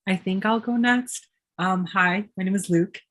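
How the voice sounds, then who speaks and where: A woman's voice: mid-range voice, unhurried, a bit bright. A woman in her twenties introducing herself on a video call, nervous, giggly and rambling. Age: 20s. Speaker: player.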